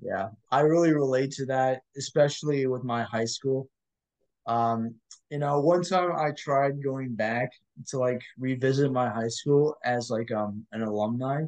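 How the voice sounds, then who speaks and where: A man's voice: medium pitch, unhurried, darkish tone, poor audio. A young man from Michigan co-hosting a casual student chat, rambling a little with plenty of "like" and "um" and laughing easily. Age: young adult. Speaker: co-host.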